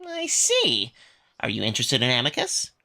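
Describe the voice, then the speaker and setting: A man's voice: medium pitch, huge pitch swings, normal speed, creaky, sharp tone, full character voice. A man voicing a reserved male cat who is polite, coy and a little sly. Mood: coy teasing.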